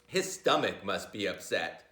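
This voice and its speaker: A man's voice: deep voice, very animated, unhurried, sharp tone, pitched below the performer's own voice, full character voice. A man reading the part of a worried but patient storybook mother, in a roomy recording. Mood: concerned. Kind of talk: storybook read-aloud. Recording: roomy, echoey sound.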